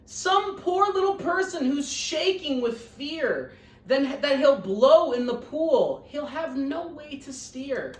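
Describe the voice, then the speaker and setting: Woman reading as a kindly, earnest elephant, lively swooping pitch. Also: slow. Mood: earnest, caring. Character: kindly, earnest male elephant, gentle protector. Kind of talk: children's picture-book read-aloud. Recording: roomy echo, distant microphone.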